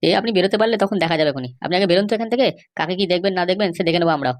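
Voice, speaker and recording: A woman's voice: lowish voice, expressive, very fast, close to an everyday voice. A woman voicing a stubborn, bribe-seeking police officer in a comedy cartoon, insistent, lecturing and dismissive. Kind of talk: Bengali comedy cartoon dialogue.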